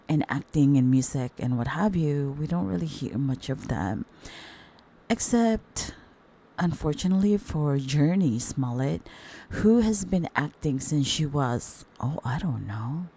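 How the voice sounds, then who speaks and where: A woman with an extremely low voice, hoarse and husky from the flu. She talks slowly and warmly in a chatty way, calling her viewers darlings as she comments on the news. She sounds middle-aged. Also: lively intonation. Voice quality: very deep voice. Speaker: commentary channel host. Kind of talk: casual commentary chat.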